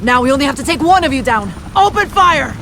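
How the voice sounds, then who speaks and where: An actress playing a hard, coolly ruthless armed officer in a video game, curt and commanding. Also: female voice, high-pitched, lively intonation, fast, fairly bright tone, slight background noise. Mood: cold command.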